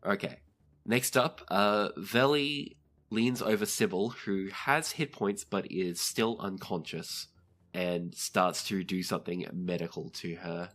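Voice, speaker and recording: A game master with a low-pitched voice narrates a tabletop roleplaying game. The delivery is conversational and easygoing, moving between scene description and rulings for the players. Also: fairly even intonation, slow, fairly bright tone.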